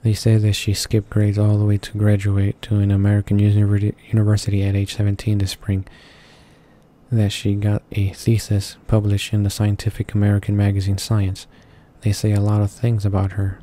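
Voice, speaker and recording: Low, flat-voiced man reading haltingly. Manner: stumbles over words and names, correcting himself. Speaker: gaming channel host reading along. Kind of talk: halting read-aloud of visual novel narration, casual asides. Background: game music playing softly.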